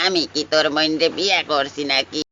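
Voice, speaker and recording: A man's voice: a little high, brisk, crowd noise, lightly acted. A man voicing a brash, foul-mouthed cartoon boy hero with a Bangladeshi accent and a slangy swagger. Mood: brash annoyance. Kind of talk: comic cartoon dub.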